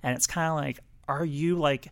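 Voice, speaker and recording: A man's voice: a little high, fairly even intonation, normal speed, vocal fry. Rambling, laid-back male podcast co-host. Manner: rambling, full of "like" fillers. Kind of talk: casual podcast chat. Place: office podcast studio.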